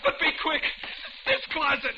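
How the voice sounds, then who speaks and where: Paranoid killer hearing voices, really high voice, old muffled radio drama, super noisy. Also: male voice, very animated, measured pace, exaggerated voice. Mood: frightened, frantic. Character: paranoid killer, a man hearing voices, softly menacing then panicked. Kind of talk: old-time radio suspense drama acting.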